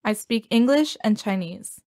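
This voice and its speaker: Woman, language lesson reader, medium pitch, neutral careful delivery, no room echo. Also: animated, a little slow, a little mellow, studio quality. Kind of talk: scripted reading of example sentences.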